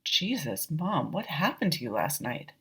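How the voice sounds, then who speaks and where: A grown son read by a woman speaks slowly in a low register, wry and gently insistent toward his mother. It is literary storybook dialogue. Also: sing-song, breathy edge, heavily acted. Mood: concerned.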